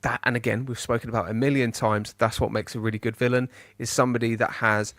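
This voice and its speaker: A man co-hosting a podcast in casual chat, animated and rising high when he argues. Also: quick pace, no room sound. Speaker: podcast co-host.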